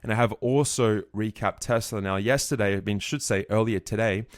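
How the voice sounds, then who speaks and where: A man giving a market recap over his trading charts, a bit croaky, walking through trades confidently. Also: fairly low pitch, dry recording, clean studio sound. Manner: fast, confident chart walkthrough. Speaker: trading educator.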